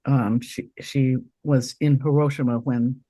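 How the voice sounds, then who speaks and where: A woman from Taiwan, hoarse from a cold, reading her poem slowly and deliberately over a video call. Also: rumbling low, steady tone, dark tone, no echo. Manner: slow, deliberate recitation with pauses. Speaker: poet reading her work. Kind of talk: poetry reading.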